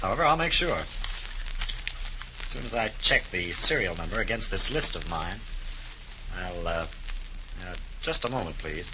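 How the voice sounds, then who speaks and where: An actor playing a folksy but official investigator who talks with hesitant pauses in a 1930s radio drama. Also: male voice, low-pitched, animated. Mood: measured, official. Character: smooth-talking, self-assured male government investigator. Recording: 1930s radio recording with faint noise.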